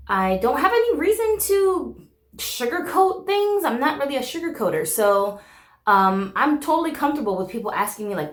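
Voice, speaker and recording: Woman in her 30s, native Korean speaker, candid chatty channel host, middle pitch, reverberant room. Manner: candid, chatty, frequent "like" and "um" fillers. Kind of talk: casual chat to camera.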